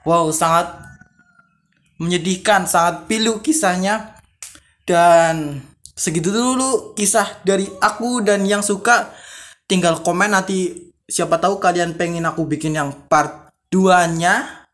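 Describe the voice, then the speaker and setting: Male, high voice, casual vlogger, read-aloud storytelling, informal commentary. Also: measured pace, pauses often, fairly bright tone. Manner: informal, reads aloud then paraphrases and reacts. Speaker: video creator, host. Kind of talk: casual vlog, reading stories aloud with commentary.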